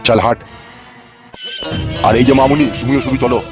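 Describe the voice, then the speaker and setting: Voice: mid-range voice, steady tone, moderate pace, loud music behind the voice, lightly acted. A performer voicing a crude, bossy male police officer in a cartoon who threatens and swears. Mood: menacing. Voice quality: gruff, threatening, swears a lot. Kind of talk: cartoon voice dubbing.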